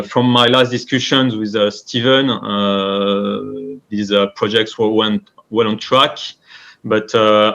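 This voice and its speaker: Analyst moderator, lowish voice, muffled remote feed, lots of uhs. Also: male voice, fairly bright tone. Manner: halting, many fillers. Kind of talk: conference introduction. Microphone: remote via web. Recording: dull lo-fi remote audio.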